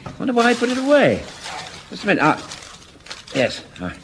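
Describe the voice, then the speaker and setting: An actor playing a mild, polite, hesitant sergeant in a radio sitcom. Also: male voice, extremely high pitch, huge pitch swings, fairly quick, fairly bright tone, heavily acted. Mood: diffident. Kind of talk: 1970s radio sitcom acting. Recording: old radio recording with hiss.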